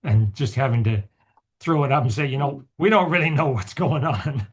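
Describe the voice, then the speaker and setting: A man with a raspy voice who hosts a group video call. He rambles casually, restarting often. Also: medium pitch, expressive, normal speed. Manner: rambling, with many restarts and chuckles. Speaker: host and mythologist.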